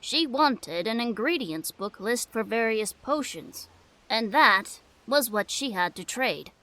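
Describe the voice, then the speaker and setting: A woman's voice: on the high side, lively intonation, slow, fairly bright tone, poor audio. A woman playing an older female halfling merchant in a fantasy audio drama, musing and hesitant as she trades.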